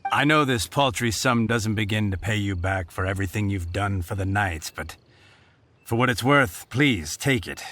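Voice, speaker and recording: A man's voice: lowish voice, expressive, normal speed, fairly bright tone, slight background noise. A man playing an earnest, mildly grumbling, self-deprecating knight in a video game, conversational in delivery. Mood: grateful, humble.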